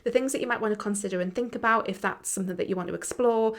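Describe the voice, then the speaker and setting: A woman's voice: mid-range voice, very fast. A woman in her thirties, a business coach, talking frankly and casually on her own show, swearing now and then. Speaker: business coach and podcast host. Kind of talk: casual solo talk.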